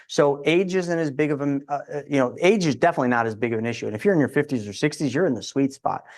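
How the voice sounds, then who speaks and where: A man speaking as a guest in a casual interview, explaining in a confident, quick way with restarts. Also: medium pitch. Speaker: insurance advisor guest.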